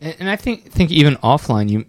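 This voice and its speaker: A man hosting a casual podcast interview, asking questions in a halting way and often stumbling over his words. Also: fairly high pitch, very animated, no echo. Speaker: podcast host.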